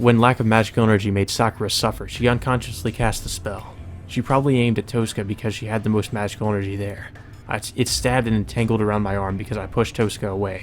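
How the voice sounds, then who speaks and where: A man reading visual novel narration aloud as a let's play, mixed with casual, sweary commentary. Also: fairly low pitch.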